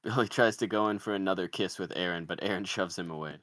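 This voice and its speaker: A man's voice: low voice, quick pace, a bit bright, no room sound, studio quality. A man narrating, reading out the stage directions of a screenplay.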